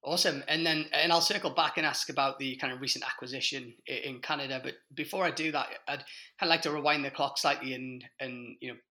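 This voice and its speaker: Male podcast host, very bright tone, hesitant restarts, mid pitch. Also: fairly even intonation, quick pace. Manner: hesitant, frequent restarts and fillers. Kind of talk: conversational interview.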